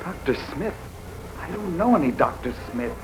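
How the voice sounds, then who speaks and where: Bewildered, agitated American man, swooping pitch. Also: sing-song, moderate pace, background noise. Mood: agitated, confused. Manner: agitated, incredulous questioning. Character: bewildered hungover amnesiac reporter, agitated and distressed. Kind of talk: 1940s radio drama acting. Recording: muffled 1940s radio recording with music.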